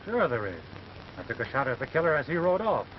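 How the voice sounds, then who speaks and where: A man's voice: medium pitch, sing-song, quick pace, natural voice. An American actor playing an accused, level-headed cowboy who defiantly protests his innocence in a 1930s western film. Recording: muffled noisy 1930s film soundtrack.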